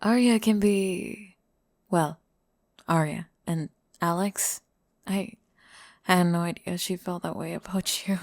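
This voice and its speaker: A woman playing a gentle, earnest singer-songwriter in a scripted ASMR roleplay, soft and hesitant. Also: on the low side, fairly even intonation, slow, long pauses, no echo, clean studio sound, voice pushed down. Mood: apologetic, wistful.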